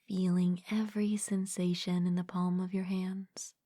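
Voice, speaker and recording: A woman leading a guided meditation as a mindfulness coach, speaking gently and calmly in a soft, breathy, partly whispered voice, close to the mic. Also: on the low side, dry recording. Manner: gentle, calm, unhurried with long pauses. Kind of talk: guided meditation, soothing scripted narration.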